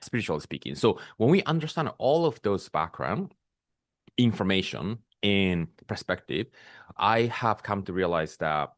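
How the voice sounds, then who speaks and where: A man hosting a spiritual podcast, speaking in a calm, measured way and sometimes pausing to search for words. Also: on the low side, dry recording, studio quality. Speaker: podcast host. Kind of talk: reflective spiritual monologue.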